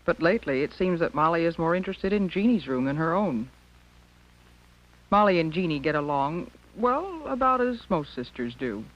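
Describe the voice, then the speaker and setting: Kindly American school nurse, dull old soundtrack. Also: female voice, lowish voice, lively intonation, moderate pace. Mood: friendly narrating. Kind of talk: 1950s educational film acting and narration. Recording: dull 1950s film soundtrack.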